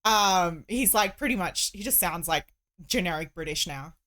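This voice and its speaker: A woman's voice: lowish voice, lively intonation, moderate pace, a bit bright, dry recording. A woman hosting a casual livestream, chatting in a rambling way and thinking aloud as she goes. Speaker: livestream host. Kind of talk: casual livestream chat while crocheting.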